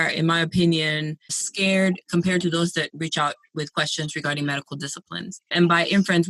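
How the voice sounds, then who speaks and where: A woman's voice: on the low side, fairly bright tone, dry recording, low-quality recording. A woman in her forties hosting a podcast, upbeat and encouraging as she introduces and questions her guest. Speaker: podcast host. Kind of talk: podcast interview and scripted intro.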